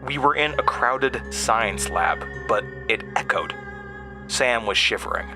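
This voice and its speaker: A male narrator tells a first-person horror story. He speaks slowly and with lively intonation, pausing dramatically, with music clearly behind him. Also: medium pitch. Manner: deliberate, halting, dramatic pauses.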